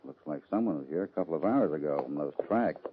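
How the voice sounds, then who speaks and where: A man playing a calm, plain-spoken cowboy hero with a dry, easygoing manner in an old-time radio western. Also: very deep voice, quick pace, noisy background. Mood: steady, alert. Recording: dull old radio recording.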